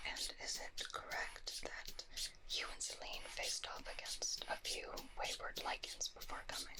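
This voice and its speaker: A woman playing an intrigued fantasy doctor in a whispered ASMR medical roleplay, speaking softly and deliberately with a curious bedside manner. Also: close to an everyday voice, rough recording. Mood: careful, reassuring. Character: intrigued fantasy doctor examining a vampire-werewolf hybrid patient.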